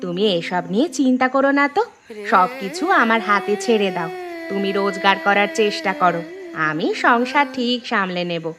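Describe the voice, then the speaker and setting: A woman playing a firm, reassuring village housewife and mother in a Bengali cartoon, scolding yet caring and expressive. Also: medium pitch, moderate pace, a crowd in the background, natural voice.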